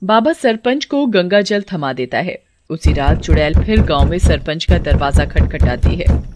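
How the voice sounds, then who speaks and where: A woman's voice: sits low, lively intonation, moderate pace, very noisy. A woman reading scripted narration for a folk horror story.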